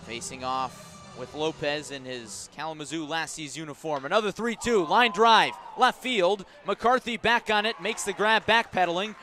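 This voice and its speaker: A man's voice: fairly high pitch, pushed and bright. Man calling baseball on radio, music behind. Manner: measured call that rises sharply on big plays. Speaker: radio play-by-play announcer. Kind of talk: live baseball radio commentary.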